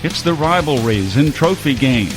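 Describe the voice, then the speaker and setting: A man's voice: mid-range voice, animated, normal speed. A man announcing the scripted introduction of a sports show in a produced promo style. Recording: announcer over loud music bed.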